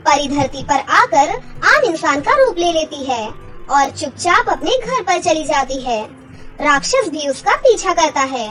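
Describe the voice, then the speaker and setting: A woman's voice: high-pitched, low-quality recording. A woman narrator reading a scripted children's fairy tale.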